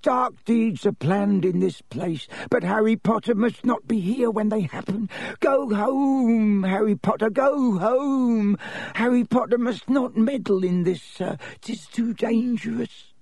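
A small house elf from a storybook, very high-pitched and husky. The creature is servile and fearful, forever pleading with deference and referring to itself in the third person. The sound is close and dry. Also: male voice, measured pace, a little mellow, no echo, exaggerated voice. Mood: frightened, pleading. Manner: speaks of self in third person, obsequious.

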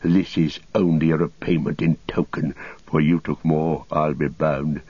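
A man voicing a sneering fallen old wizard reduced to beggary, in a cracked, husky voice with mocking archaic phrasing, as in an audiobook. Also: extremely low pitch, level tone, measured pace, no echo, dull audio, exaggerated voice. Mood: sneering mockery.

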